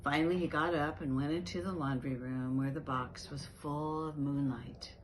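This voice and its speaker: A woman's voice: deep voice, fairly even intonation, normal speed, a little hiss or hum, rough recording. A woman reading a children's picture book aloud, expressively, stressing key words and adding asides as storyteller. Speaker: storyteller, reading organisation's founder.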